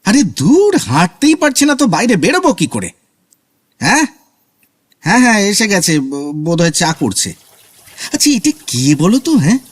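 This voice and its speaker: A man's voice: high-pitched, breathy edge, heavily acted. An actor playing an exasperated, politely impatient male writer who stammers and grumbles in a comic audio drama. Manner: flustered, stammering, grumbling asides.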